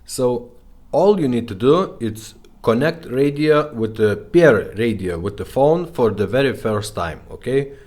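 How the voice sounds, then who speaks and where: A man's voice: medium pitch, animated, unhurried, a little mellow. A man giving a casual, informal product demo, often checking in with short tag questions as he explains. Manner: informal, checks in with "okay?" and "right?". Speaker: presenter and car radio retrofitter.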